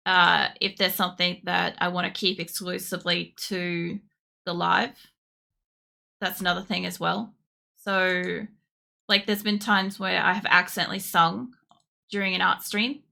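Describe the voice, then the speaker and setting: A tired young woman streamer chatting casually while she draws, with a croaky voice and a rambling way of talking full of fillers. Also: fairly low pitch, level tone, unhurried, pushed and bright. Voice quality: croaky, gravelly. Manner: rambling, with many fillers and restarts. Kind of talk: casual chat during a drawing stream.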